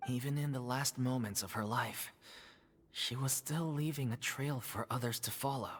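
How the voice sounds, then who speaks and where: Actor for a quiet, mid-voiced troupe member in a game, faint background noise. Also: male voice, medium pitch, normal speed, natural voice. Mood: reverent.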